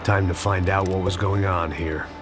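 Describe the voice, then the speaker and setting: An actor with an American accent playing a jaded, world-weary antihero, narrating a noir game in a deadpan, brooding way. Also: male voice, sits low, normal speed, noisy background, close to an everyday voice. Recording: loud music under the voice.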